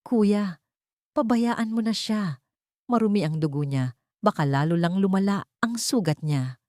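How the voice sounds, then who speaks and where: A woman's voice: animated, unhurried, darkish tone, dry recording, clean studio sound, lightly acted. A woman voicing a spiteful, scheming younger sister from a rich family in an audio drama story, frantic and commanding. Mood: scornful, urgent. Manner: shrill, commanding.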